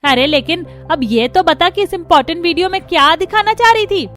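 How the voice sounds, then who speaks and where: A woman's voice: very high voice, fairly quick, fairly bright tone. A woman playing a suspicious middle-aged mother in a cartoon, questioning and scolding as she talks. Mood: puzzled, scolding.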